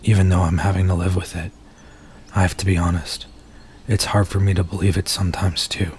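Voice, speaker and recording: A man playing a nervous, earnest werewolf in an intimate ASMR roleplay, speaking softly and haltingly as he confides in his date. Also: deep voice, little pitch movement, a little hiss or hum, lightly acted. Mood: apologetic, earnest. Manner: soft-spoken, hesitant, halting, earnest.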